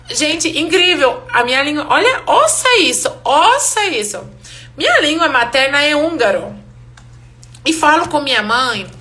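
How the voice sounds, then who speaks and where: Woman with a Hungarian accent, native Hungarian, fairly high bright voice, lively live-stream language teacher. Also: slight background noise. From Romania. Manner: lively, switching between Portuguese and English, laughing often. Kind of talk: live online class.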